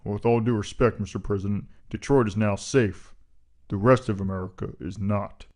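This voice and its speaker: A man playing a zealous, deadpan presidential aide who speaks gravely and formally in a comic audio drama. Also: fairly low pitch, moderate pace, lightly acted. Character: stern, zealous male presidential security aide.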